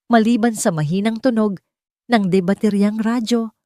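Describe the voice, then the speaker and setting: A woman narrating an audio drama in a measured, dramatic storytelling manner. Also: medium pitch, warm tone, no room sound, clean studio sound.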